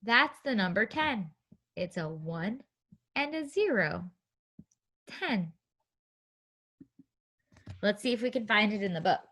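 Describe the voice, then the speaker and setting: A woman's voice: medium pitch, sing-song, slow pace, pauses often, fairly bright tone. A woman teaching young children in an interactive read-aloud, speaking in simple, encouraging phrases with guiding questions and a sing-song delivery. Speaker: preschool teacher reading a story aloud.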